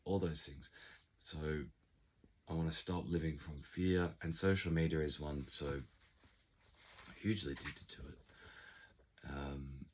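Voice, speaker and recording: Very deep, husky croaky man. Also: fairly even intonation. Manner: reflective, unhurried, frequent ums. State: hoarse, recovering from illness. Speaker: channel creator. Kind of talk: casual vlog monologue. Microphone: unfamiliar backup microphone.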